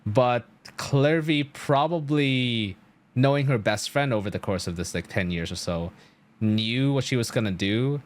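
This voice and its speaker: A man giving casual live-stream game commentary, thinking aloud in a halting, rambling way full of filler words. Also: fairly low pitch.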